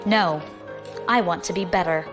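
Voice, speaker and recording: A woman's voice: fairly low pitch, moderate pace, a bit bright, faint music behind, natural voice. A woman playing a bold, ambitious, defiant young opportunist in an audio drama, assertive and challenging. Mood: cool, determined.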